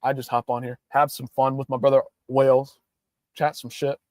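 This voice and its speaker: A man's voice: mid-range voice, flat delivery, normal speed, a little mellow, no room sound, studio quality. A young man hosting a casual livestream, ranting in a hyped-up, profane way. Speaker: livestream host.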